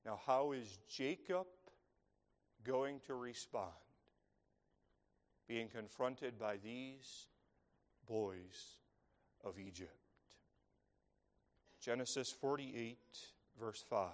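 A man preaching a sermon, speaking in slow, measured phrases with long dramatic pauses. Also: mid-range voice. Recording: long reverb of a large hall.

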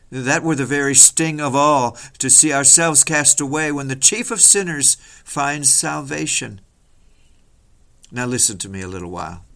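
A man preaching an old-fashioned hellfire sermon in a solemn, declamatory, rhetorical way, with a medium-pitched voice. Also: fairly bright tone.

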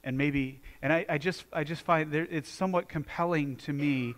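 American pastor guy preaching, kinda high voice, earnest, lots of restarts. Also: fairly even intonation, moderate pace. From United States. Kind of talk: sermon.

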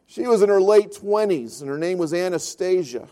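A high-pitched man delivers a Sunday sermon. He tells a story with an earnest tone and drives his points home by repeating phrases. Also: animated, normal speed, warm tone. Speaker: pastor.

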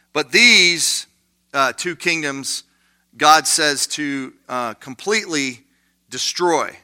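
A man teaching a Bible study class in a conversational way, with lots of fillers and quick asides. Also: a little high, bright, forceful tone.

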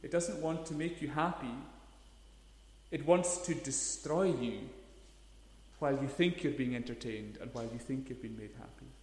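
A man's voice: medium pitch, normal speed, darkish tone. A man, preaching a sermon in an earnest, emphatic way, leaning hard on key words. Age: 30s. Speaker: pastor. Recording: echoey hall, long reverb.

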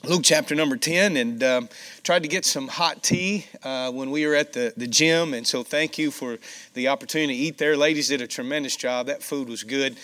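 A man's voice: mid-range voice, normal speed, fairly bright tone. A man preaching a sermon in a folksy way, with a scratchy voice. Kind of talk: sermon with scripture reading.

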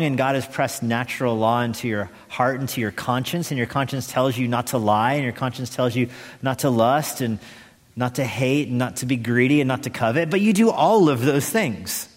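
The voice is a man's, medium in pitch, ringing with long reverb in a large hall. He preaches like a pastor explaining scripture, leaning on repeated phrases and deliberate pauses for emphasis. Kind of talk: expository sermon.

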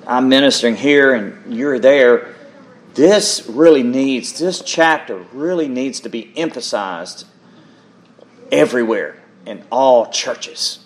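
A man with a mid-pitched voice preaches from scripture. He is earnest and emphatic, repeating key words to drive them home and turning to his listeners with short rhetorical questions. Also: takes it slowly. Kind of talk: sermon with scripture reading.

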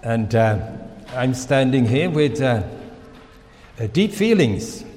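A Finnish-born man whose first language is Swedish, preaching a sermon with slow, halting delivery and many pauses. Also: medium pitch, big pitch swings, darkish tone. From Finland. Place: church hall. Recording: long reverb of a hall.

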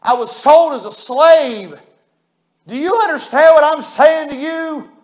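A preacher playing a wronged, self-pitying Joseph. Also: male voice, extremely high pitch, measured pace, pitched above the performer's own voice. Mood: indignant. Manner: pleading, indignant complaining. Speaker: pastor.

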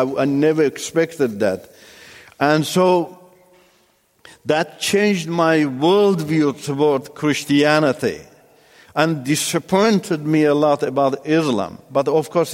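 Halting man telling his testimony. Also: mid-range voice, darkish tone, big-room sound. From Iran. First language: Turkish. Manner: halting, many fillers and restarts. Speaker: testimony speaker. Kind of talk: personal testimony talk.

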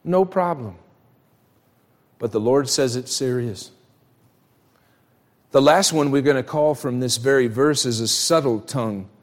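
Male preacher, mid-pitched, emphatic and direct sermon delivery, long echoing hall sound. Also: a little slow, pauses often. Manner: emphatic, direct address with rhetorical questions; acts out imagined dialogue. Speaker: pastor preaching. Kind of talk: church sermon.

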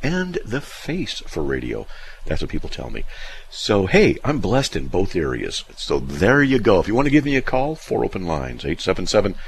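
A man's voice: low-pitched, huge pitch swings, normal speed, vocal fry, a little hiss or hum. A middle-aged man hosting a call-in radio show, telling stories in a folksy, self-deprecating way. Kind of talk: casual call-in radio chat.

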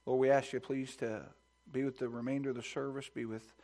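A man pastor preaching a sermon in a church sanctuary, reading scripture and praying, at times hushed and at times rising with fervor. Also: little pitch movement, brisk. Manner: builds to loud, high-pitched preaching fervor.

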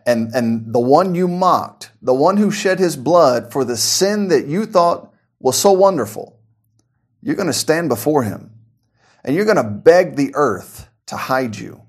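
A man's voice: on the high side, lively intonation, measured pace. A man preaching an informal sermon, earnest and conversational, often repeating words as he restarts his sentences. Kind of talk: conversational radio-style sermon.

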